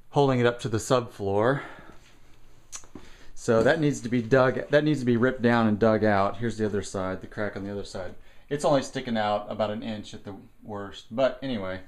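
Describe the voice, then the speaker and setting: A man giving a casual, unscripted walkthrough while filming indoors, thinking aloud in halting short phrases. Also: medium pitch, clear, resonant tone. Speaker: person filming a home repair walkthrough. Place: indoors, house basement with block walls. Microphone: handheld camera, held by the speaker.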